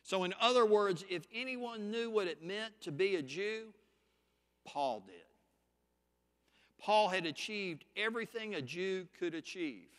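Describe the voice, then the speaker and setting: A man preaching a sermon, teaching scripture point by point in a slow, deliberate, emphatic way. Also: high voice, expressive, a bit bright, long reverb tail.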